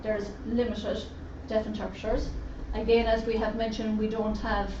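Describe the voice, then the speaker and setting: A woman voicing a deaf presenter's talk in the first person, in a measured, steady way, at a roundtable event. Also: medium pitch. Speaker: sign language interpreter voicing a deaf presenter. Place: conference room at a roundtable event. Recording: roomy, distant, dull lo-fi sound with faint noise.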